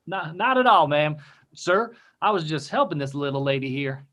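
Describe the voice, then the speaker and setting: Flirty, courteous cowboy played by a man, Southern US accent, swinging expressive pitch, sitcom read on video call. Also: normal speed, lo-fi sound. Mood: easygoing. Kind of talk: table read of comedy script. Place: indoor room on a video call.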